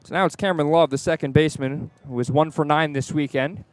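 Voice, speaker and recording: A man's voice: on the high side, steady tone, fast talker, dry recording. A man calling live baseball play-by-play on the radio from the ballpark. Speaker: play-by-play sports announcer. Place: outdoors at a baseball stadium.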